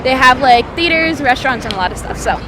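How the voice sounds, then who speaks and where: A woman's voice: sits high, expressive, quick pace, background noise. A young woman chatting to her handheld camera as she vlogs outdoors, giggly and talkative. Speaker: vlogger. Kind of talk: casual vlog chat. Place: outdoors at a carnival and town center. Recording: outdoor camera audio with crowd and music.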